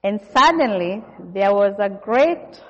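Kenyan woman preaching slow and measured, lots of uh's, muffled sound with long hall echo. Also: mid-range voice, expressive. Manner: measured, warm church address with frequent "uh" fillers. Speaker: guest preacher. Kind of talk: sermon. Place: church hall. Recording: dull recording with long hall reverb.

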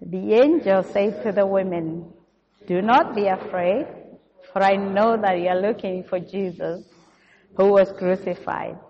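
Slow-spoken Kenyan woman preaching in a hall. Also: mid-range voice. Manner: measured, warm church address with frequent "uh" fillers. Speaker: guest preacher. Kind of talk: sermon. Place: church hall. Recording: dull recording with long hall reverb.

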